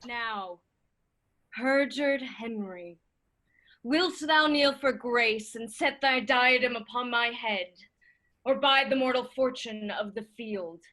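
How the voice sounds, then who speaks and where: A woman's voice: fairly high pitch, takes it slowly, pushed and bright, low-quality recording. A woman playing a proud, defiant young male claimant to a throne in a Shakespearean verse reading, with a haughty, declamatory delivery. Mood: haughty challenge. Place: remote reading over a video call.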